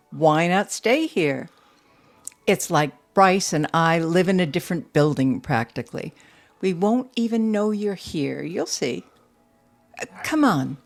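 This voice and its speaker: An inquisitive, persistent lady of the house in an audio play. She coaxes and probes at a slow, deliberate pace, in a slightly low register. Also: exaggerated voice. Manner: probing, coaxing, unhurried. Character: curious, insistent female portrait sitter with a big house. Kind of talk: audio drama dialogue.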